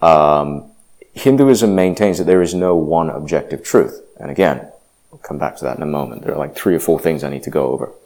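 A man's voice: a bass voice, brisk, darkish tone. A man giving a reflective personal testimony, hesitant and full of fillers and restarts. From grew up partly in Indonesia.

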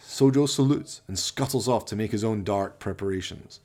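Male narrator, low pitch, husky rasp, arch and florid, dramatic radio play storytelling. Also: normal speed, darkish tone.

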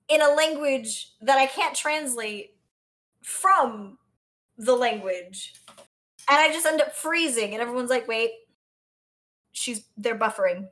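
An American woman from South Florida chatting casually as a podcast co-host, telling stories in an animated way. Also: high-pitched. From South Florida, United States. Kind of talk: casual conversational chat.

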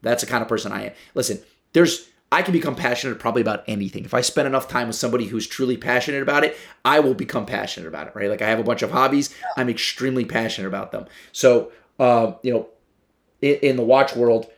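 Man, podcast host, medium pitch, casual chat, filler-heavy. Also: fast, fairly bright tone. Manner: loose, filler-heavy, tag questions like "right?". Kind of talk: casual conversation.

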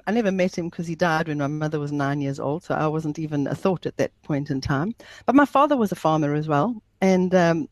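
A woman's voice: sits low, no echo. A middle-aged South African woman with a South African accent, talking at length and with emphasis as an interview guest. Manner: emphatic, talkative, explains at length. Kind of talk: interview conversation.

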